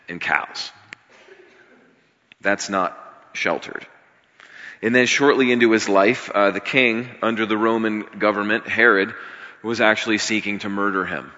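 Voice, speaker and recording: Scratchy, forceful American preacher in echoing hall. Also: male voice, fairly low pitch. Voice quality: slightly scratchy. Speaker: pastor. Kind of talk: conversational sermon to a congregation. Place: large room, speaking to a congregation. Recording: reverberant hall, slightly dull sound.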